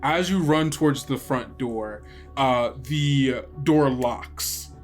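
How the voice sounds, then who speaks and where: A man's voice: mid-range voice, takes it slowly, soft background music. A man working as game master, narrating a tabletop roleplay in an informal, improvised way.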